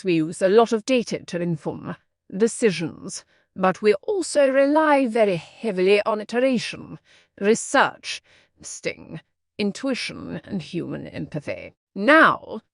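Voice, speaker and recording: A woman reading practice passages aloud in a measured, scripted style. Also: medium pitch, vocal fry, no echo, clean studio sound. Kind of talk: measured read-aloud of exam texts.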